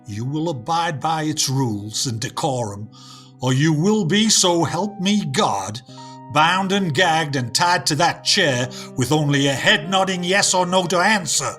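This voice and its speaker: A man's voice: on the high side, expressive, unhurried, a bit bright, faint music behind. A man playing a stern, indignant judge in a radio play, with a formal, weighty, scolding manner.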